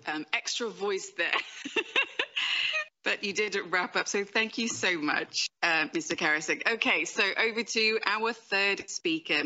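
A young woman with a slightly low voice steers a panel, polite and steady as she hands each speaker the floor. She speaks into a desk mic in a studio, and the stream audio sounds a little dull. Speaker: moderator. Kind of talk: moderating a panel. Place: conference studio. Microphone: desk microphone.

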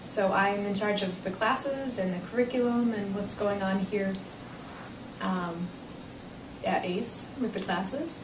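Woman, mid pitch, measured, echoey hall, distant noisy mic. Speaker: education director. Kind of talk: interview answers.

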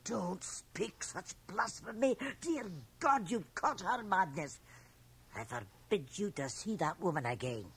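A middle-aged woman playing a stern, domineering, scolding small-town mother with a husky voice in a radio drama. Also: medium pitch, animated, a little slow, fairly bright tone, exaggerated voice. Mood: stern, appalled. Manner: scolding, imperious. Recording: 1980 radio drama from home cassette transfer.

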